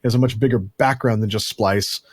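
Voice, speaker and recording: A man's voice: on the low side, fairly even intonation, a little fast, darkish tone, no room sound. Chatty podcast host man, dry sound. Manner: rambling, self-deprecating, chatty. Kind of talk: casual podcast chat.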